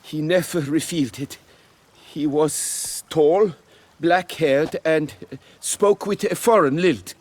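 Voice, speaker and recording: A man's voice: on the high side, takes it slowly. An actor playing a proud but servile nobleman winemaker in a video game, speaking formally and with deference. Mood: contrite. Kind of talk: dramatic video game acting.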